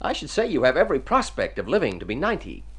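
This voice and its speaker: A man's voice: medium pitch, wildly swooping pitch, quick pace, husky, full character voice. A man playing a formal, dry, self-interested doctor in a radio drama, precise with small hesitant hems. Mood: formal, clinical. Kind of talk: mid-century radio drama acting.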